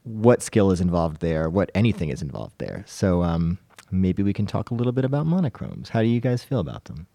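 Low, mellow man hosting a discussion. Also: big pitch swings, fairly quick, gravelly, no echo. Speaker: podcast host. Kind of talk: casual panel discussion.

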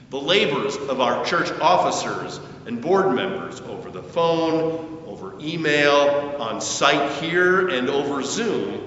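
An older man, a pastor, preaching a sermon with deliberate, emphatic phrasing and stressed pauses. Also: fairly bright tone. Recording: echoey hall, distant mic, muffled, faint rumble.

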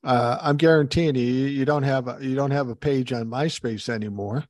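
A man's voice: medium pitch, moderate pace, a little mellow, dry recording, studio quality, soft background music. Blunt, profane man in his sixties. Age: 60s. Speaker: podcast guest. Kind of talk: casual chat over a video call. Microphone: video call.

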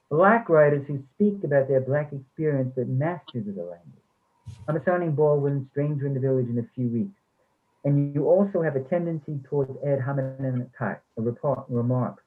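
A man's voice: a little high, normal speed, phone-quality sound, close to an everyday voice. Phone-thin male actor as defensive English instructor. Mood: instructive. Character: defensive, haunted former English instructor, later retired. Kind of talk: readers theatre play reading.

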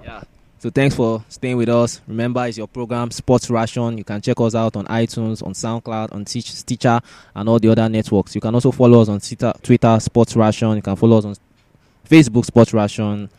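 Man, sports podcast host, mellow tone, casual discussion, repeats phrases, dry studio-like sound. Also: mid-range voice, flat delivery, a little fast, dry recording.

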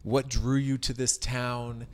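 A man's voice: mid-range voice, flat delivery, takes it slowly, a little mellow. A man hosting an interview, asking friendly, leading questions in a conversational way. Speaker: interviewer, host.

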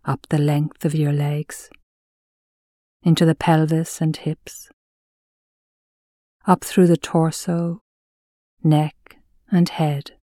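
A woman gently narrating a guided mindfulness meditation, soothing and measured, her soft voice trailing into breathiness with long pauses between phrases. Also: sits low, no echo, clean studio sound.

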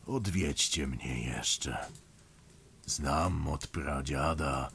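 An actor playing a homely male storyteller who addresses listeners in an unhurried, confiding way. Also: extremely low pitch, vocal fry, fairly bright tone, a little hiss or hum, heavily acted. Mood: musing. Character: homely old-style male storyteller by the stove. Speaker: storyteller narrator. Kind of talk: scripted storytelling narration.

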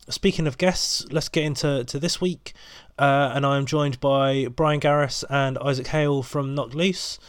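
A man's voice: mid-range voice, no room sound. A man hosting his podcast, sounding bunged up from a cold and rambling with plenty of ums as he chats. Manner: rambling, many ums and restarts. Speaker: podcast host. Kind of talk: casual solo podcast intro.

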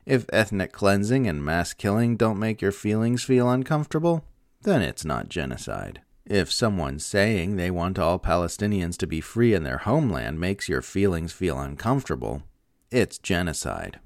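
A man's voice: low voice, big pitch swings, moderate pace, no echo, clean studio sound. A man reading a scripted political opinion essay aloud in an emphatic, sardonic way. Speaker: narrator reading political commentary.

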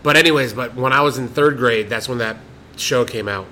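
A man with a bright, forward tone chats casually on a podcast, spinning an offhand story and swearing without a second thought. Faint background noise sits under his voice. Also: mid-range voice, fairly quick. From Florida. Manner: casual storytelling with frequent profanity. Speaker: podcast co-host. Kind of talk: casual chat.

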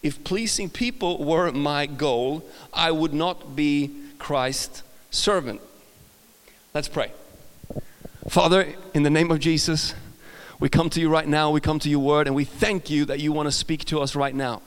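A man with a Swedish accent blended with British and American sounds preaches as a guest in a church. He is casual and self-deprecating, with many restarts. His slightly high, resonant voice rings with long reverb in a large hall. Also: steady tone, unhurried, smooth. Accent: Swedish, mixed with British and American. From Sweden. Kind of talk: sermon.

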